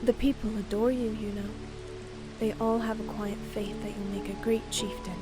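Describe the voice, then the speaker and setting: A woman's voice: mid-range voice, level tone, normal speed, lightly acted. A woman playing a devoted, protective young rabbit-eared bride-to-be in an audio drama, speaking with earnest emotion. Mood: gentle, caring. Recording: music and rain behind the voice. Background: music and rain.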